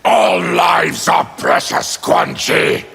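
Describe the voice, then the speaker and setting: An actor voicing a fierce, proud monster warrior in a video game, growling and menacing. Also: male voice, a little high, takes it slowly, exaggerated voice. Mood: defiant. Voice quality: gravelly, growling. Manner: menacing, forceful. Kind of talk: video game character dialogue. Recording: loud game music behind.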